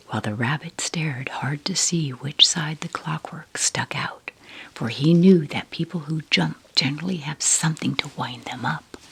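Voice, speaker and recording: A woman softly whispering a bedtime story in an ASMR style, taking her time as she narrates. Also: deep voice, breathy edge, low-quality recording. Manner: soft, unhurried whispering.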